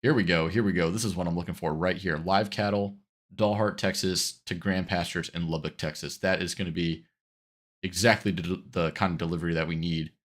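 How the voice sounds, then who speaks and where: A man's voice: sits low, fairly even intonation, brisk. A man with a congested voice from a cold, rambling easily through casual commentary while streaming a driving game. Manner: rambling, self-correcting, easygoing.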